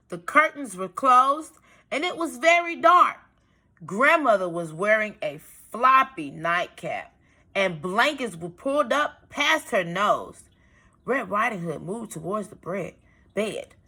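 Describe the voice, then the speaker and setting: A woman reading a storybook aloud to young children as a teacher, pausing to explain things in simple phrases. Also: medium pitch, sing-song, bright, forceful tone, breathy edge. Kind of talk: expressive storybook read-aloud with asides.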